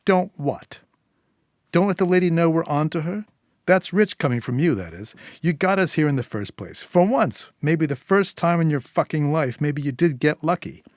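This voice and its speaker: A man's voice: fairly high pitch, expressive, moderate pace, dry recording, studio quality, pitched above the performer's own voice, close to an everyday voice. A man voicing a menacing, sarcastic woman intruder in a story, bossy and taunting. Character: menacing, sarcastic, foul-mouthed female intruder.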